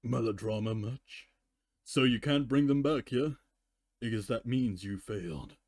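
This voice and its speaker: A man's voice: medium pitch, a little slow, darkish tone, dry recording, close to an everyday voice. A stubborn, mocking pony mare, as a man reads her in a storybook style. The delivery is flippant and dismissive, with a dry, close sound. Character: sarcastic, dismissive, stubborn young female pony. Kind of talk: dramatic fan fiction reading.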